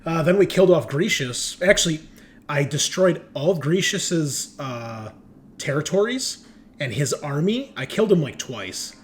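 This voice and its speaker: A man's voice: fairly high pitch, animated, unhurried. A man hosting in a casual, enthusiastic way, chatting in slang with plenty of swearing. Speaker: host and game master. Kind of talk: casual chat. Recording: noticeable background noise.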